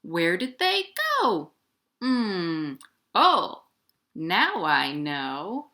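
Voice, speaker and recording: A woman's voice: fairly low pitch, sing-song, drawn-out words, pushed and bright. A woman hosting a children's storytime, reading playfully in sing-song rhymes and speaking directly to young children. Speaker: children's librarian, storytime host. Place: at home, indoors.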